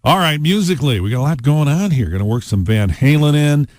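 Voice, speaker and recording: A man's voice: fairly high pitch, lively intonation, brisk, a little mellow. An American man hosting a rock radio show, talking in casual, conversational DJ patter with playful asides. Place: radio studio. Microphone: close studio broadcast mic.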